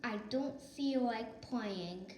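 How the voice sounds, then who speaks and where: Woman as a sulky little boy lion cub, slow-spoken. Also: a little high, darkish tone, roomy sound, slight background noise, poor audio. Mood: sulky, dejected. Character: sulky, discouraged young male lion cub.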